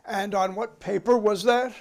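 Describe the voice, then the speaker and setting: Guy playing a committee lawyer grilling a witness, pretty high voice, formal, polite but pushy. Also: fairly even intonation, normal speed, vocal fry. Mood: formal, probing. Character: formal, insistent male committee counsel questioning a witness. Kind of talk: dramatic reading of a hearing transcript.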